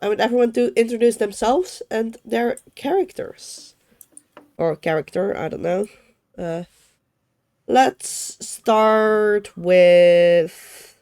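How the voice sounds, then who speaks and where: A woman's voice: fairly low pitch, darkish tone. A sick, sniffly woman hosting a streamed tabletop game as game master, chatty and self-correcting as she rambles.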